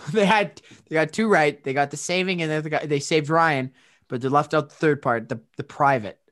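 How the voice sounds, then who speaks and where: A male podcast co-host with a somewhat high voice, teasing and joking in loose comedy banter. He playfully mangles names and keeps interrupting with quick gags. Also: fairly bright tone.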